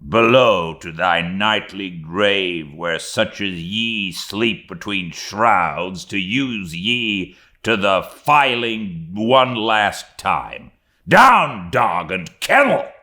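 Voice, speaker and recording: A man's voice: on the low side, animated, extremely slow, pushed and bright, full character voice. A man voicing a scornful, wrathful old sea captain with a commanding, explosive manner and archaic speech, from a classic novel reading.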